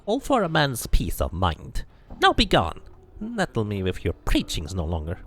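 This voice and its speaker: A man's voice: on the low side, huge pitch swings, normal speed, fairly bright tone, exaggerated voice. A man voicing a tiny, wizened, fidgety man in his nineties, an evasive official with fussy, old-fashioned formal speech for a game. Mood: irritated, scornful.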